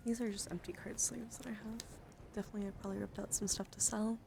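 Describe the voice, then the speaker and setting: A woman livestreamer doing playful ASMR, drifting between whispers and soft-spoken casual chat as she coaxes and addresses her viewers directly. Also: medium pitch, flat delivery, fast talker, vocal fry, background noise. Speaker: livestreamer, VTuber.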